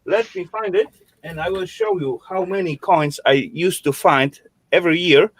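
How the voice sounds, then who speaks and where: A man chatting casually on a stream in halting English with odd grammar. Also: sits high, breathy edge, no echo, low-quality recording. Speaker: guest on a stream chat. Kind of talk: casual online chat.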